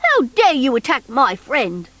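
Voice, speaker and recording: A woman's voice: high voice, wildly swooping pitch, measured pace, fairly bright tone, no room sound, exaggerated voice. A woman voicing a mischievous male monkey who is eager to please, pleading and defensive, in a children's storybook. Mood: indignant.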